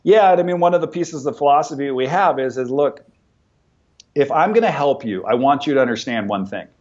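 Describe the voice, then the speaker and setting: A man's voice: medium pitch, a little fast. A man in his forties talks about running his company with upbeat, motivational drive. He often stumbles over and repeats his first words. The recording sounds dull and a little lo-fi. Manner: energetic, motivational, repeats words when starting sentences. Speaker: business owner and podcast guest. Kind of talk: conversational interview.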